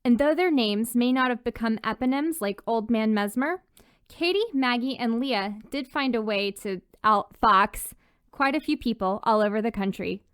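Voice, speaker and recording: A woman's voice: on the high side, moderate pace, a bit bright, clean tone, no echo, studio quality. A woman reading a scripted story narration, speaking encouragingly and directly to her listeners.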